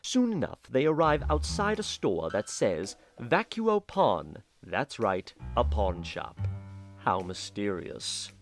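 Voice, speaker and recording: A man's voice: fairly low pitch, big pitch swings, slow, faint music behind. A man narrating a fan audio drama with dry, theatrical asides. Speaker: narrator voicing a headmaster.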